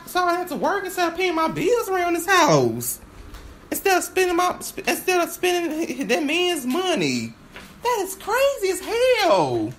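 Woman, extremely high voice, animated swings, stammering repeats, theatrical monologue, faint noisy home recording. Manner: halting, repetitive, stammering. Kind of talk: improvised monologue from a stage play.

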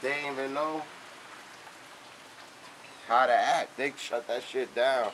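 A young man telling boastful, animated street stories in casual, profane slang. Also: mid-range voice, slow, poor audio. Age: young adult. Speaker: storyteller. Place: outdoors on a covered house porch. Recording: rain and outdoor ambient noise.

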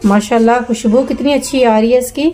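A woman's voice: fairly high pitch, steady tone, fairly quick, warm tone, faint music behind. A woman gives plain, step-by-step cooking instructions as the host, often repeating herself. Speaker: home cooking show host. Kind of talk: step-by-step recipe narration. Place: home kitchen at the stove. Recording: noisy kitchen with sizzling pan. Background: frying, sizzling, stirring.

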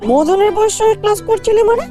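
Someone voicing a very high, quick, earnest cartoon schoolboy. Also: music in the background. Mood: concerned, chiding. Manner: questioning, mildly scolding. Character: earnest well-behaved schoolboy, naive top-of-class pupil. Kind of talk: comedy cartoon dialogue.

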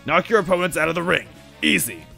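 A man voicing a gleefully cruel, bombastic monster game-show boss in a story, with a gravelly, taunting showman's delivery. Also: on the high side, animated, fast, faint music behind, voice pushed up, exaggerated voice. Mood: bossy, impatient. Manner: taunting, shouting showman, sputtering.

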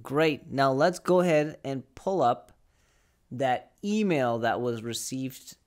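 A man's voice: mid-range voice, expressive, takes it slowly. A young man presenting a conversational step-by-step tech tutorial, explaining and often checking in with the listener, recorded on a close desk microphone in a small studio. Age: young adult. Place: small home studio with acoustic foam.

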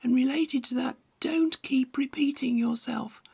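High, creaky, husky woman narrator. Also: measured pace, mellow, muffled recording. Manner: blunt, didactic. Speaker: instructional video narrator. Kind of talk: scripted narration, tutorial with opinionated advice.

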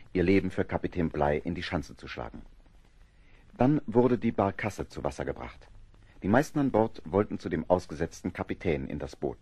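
A man narrating a seafaring adventure radio play in a grave, dramatic storytelling style, with a husky voice. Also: low-pitched, darkish tone, no echo.

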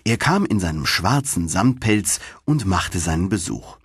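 A man narrating a fairy tale for children as an audiobook, in an expressive, dramatic storytelling manner. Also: low-pitched, a little slow, husky, no echo, clean studio sound.

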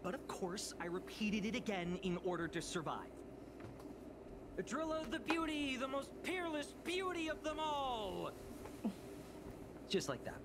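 A man's voice: very high voice, sing-song, measured pace, long pauses, heavily acted. A man voicing a jaded, whiny young office worker in a video game, complaining with exasperated drama. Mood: incredulous, mocking. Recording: game audio with clear background noise.

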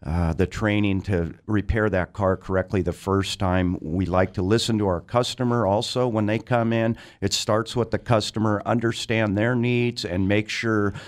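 Middle-aged man, slightly low voice. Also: steady tone, normal speed, few pauses. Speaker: master mechanic, radio guest. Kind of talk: explanatory interview answers.

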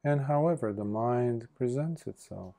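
A man leading a guided meditation as a Buddhist monk, speaking gently in short phrases with long pauses between them. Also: medium pitch, lively intonation, vocal fry.

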